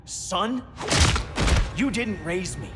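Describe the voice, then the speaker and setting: An actor playing a bitter, cocky young assassin at odds with the world, in a dramatic video game. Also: male voice, sits high, very animated, extremely slow, heavily acted. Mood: defiant. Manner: cocky, contemptuous. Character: defiant, bitter young male assassin, estranged son. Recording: loud music behind.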